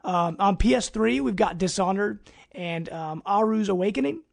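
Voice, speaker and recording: A man's voice: high-pitched, moderate pace, gravelly. A man hosting a gaming news podcast, presenting in an informal, conversational way. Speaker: podcast host.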